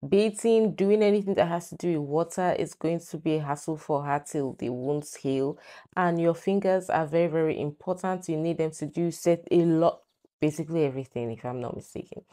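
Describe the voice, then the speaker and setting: A woman's voice: low voice, a little fast, dark tone. A young woman hosting a casual reaction video, chatty and incredulous, filmed in a small room. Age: young adult. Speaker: reaction host.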